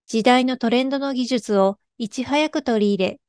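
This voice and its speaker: Woman, fairly high voice, polite, formal, scripted presentation, dry close sound. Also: moderate pace, darkish tone, clear, resonant tone, no echo.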